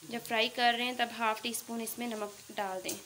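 A woman's voice: on the high side, steady tone, a little fast, a bit bright, slight background noise. A woman hosting a home cooking channel, explaining each recipe step and speaking directly to her viewers. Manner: instructive, addresses viewers directly. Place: home kitchen at the stove.

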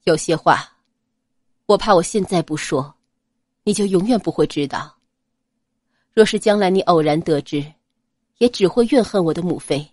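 A woman voicing a cold, restrained young nobleman in an audiobook, quiet, grave and measured. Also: fairly low pitch, dry recording, clean studio sound, pitched below the performer's own voice, lightly acted. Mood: quiet, guarded. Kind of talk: dramatized audiobook character acting.

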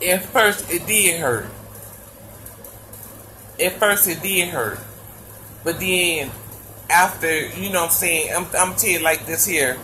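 A woman with a deep voice talking bluntly and profanely to her viewers while lying in bed, speaking into a phone. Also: slow, pauses often, bright, forceful tone, slight background noise, poor audio. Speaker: live streamer telling her story. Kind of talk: casual monologue to viewers. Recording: played from her live stream.

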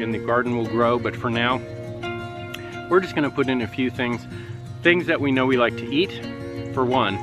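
A man in his forties chatting casually to camera as a homestead vlogger, recorded outdoors. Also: mid-range voice. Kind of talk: casual vlog chat to camera. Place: outdoors, yard and busy farm meet. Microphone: handheld or nearby camera.

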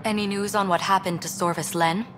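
A woman's voice: medium pitch, fairly even intonation, a little fast, fairly bright tone, slight background noise, natural voice. A woman playing a composed alien ambassador in a video game, formal, diplomatic and principled. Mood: concerned, gracious. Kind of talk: video game dialogue acting.

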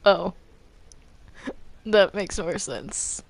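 A woman's voice: medium pitch, drawn-out words, gravelly, dry recording. A woman in casual improvised gameplay chat, hesitant and stammering, often repeating her words. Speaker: gamer in a group roleplay.

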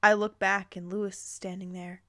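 A woman reading a story aloud informally, breaking in with expressive, amused reactions and exclamations. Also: mid-range voice, normal speed, no echo, clean studio sound. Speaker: host reading fan fiction aloud with commentary.

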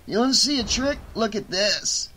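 A man's voice: high-pitched, expressive, normal speed, pushed and bright, a little hiss or hum, voice pushed up, rough recording. A man voicing a laid-back, chirpy dead stage performer in an audiobook, casual and showy. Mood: showy, cheerful.

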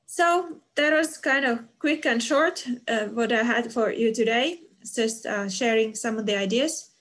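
A woman's voice: a little high, measured pace, fairly bright tone. A middle-aged woman from Finland, a university professor, answering questions from the chat on an online lecture call, with many fillers. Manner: reads chat comments aloud and answers them, with fillers.

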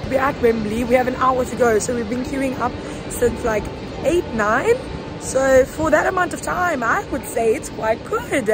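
High-voiced excitable young woman vlogging. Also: normal speed. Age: young adult. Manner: excitable, exclaiming. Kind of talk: casual vlog chat to camera. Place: outdoors in busy city streets and stadium. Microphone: phone at arm's length. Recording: loud crowd and music behind.

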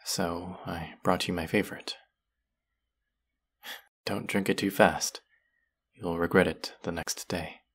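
A man acts out a vengeful fantasy villain for an audio roleplay, speaking straight to the listener. The voice is very low, slow and husky, heavy with bitter menace and grief. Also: flat delivery, fairly bright tone, heavily acted. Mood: grieving, solemn. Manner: menacing, sardonic, slow and deliberate. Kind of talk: dramatic audio roleplay acting addressed to the listener.

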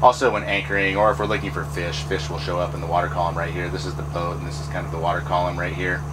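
A man giving a relaxed, practical walkthrough of his boat's helm electronics, talking casually with fillers. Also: low voice, fast, heavy background noise. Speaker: boat owner giving a tour. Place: pilot house of a trawler yacht underway.

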